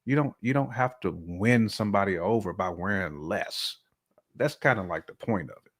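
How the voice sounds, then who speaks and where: A man's voice: lowish voice, moderate pace, a bit bright. An American man in casual podcast conversation, talking in a colloquial, slangy way with emphatic repetition.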